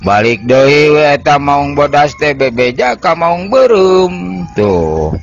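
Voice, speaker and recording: An older man narrating an old folktale as the channel's storyteller, unhurried and sing-song. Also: fairly high pitch, big pitch swings, creaky, soft background music.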